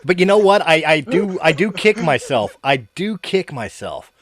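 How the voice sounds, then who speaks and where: A man's voice: fairly high pitch, big pitch swings, normal speed, crowd noise. A man hosting a casual podcast chat. He rambles, repeats himself and laughs often. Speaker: podcast host.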